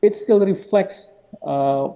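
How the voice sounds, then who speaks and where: A man's voice: sits high, slow, warm tone. A man giving an academic slide presentation at a roundtable, thinking aloud and hedging as he goes. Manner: hesitant, thinking aloud, hedging with "perhaps" and "I don't know". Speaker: panel speaker, architecture researcher. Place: gallery hall at a roundtable. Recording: long hall reverb.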